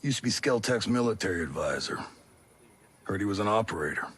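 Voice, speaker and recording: An actor playing a steady, loyal special forces soldier in a video game, talking in a terse, understated way. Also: male voice, on the low side, fairly quick, slightly rough. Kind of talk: video game cutscene dialogue.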